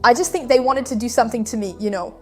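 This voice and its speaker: A woman with a fairly high voice recounts events in a casual interview. She talks in an animated, conversational way, full of fillers. Faint music sits underneath. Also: fast. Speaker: guest.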